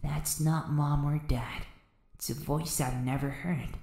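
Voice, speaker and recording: A woman voicing a frightened, abused young boy in a dramatic manga dub, timid, halting and pleading. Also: low voice, fairly even intonation, dark tone. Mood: desperate. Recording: echoey, music behind the voice.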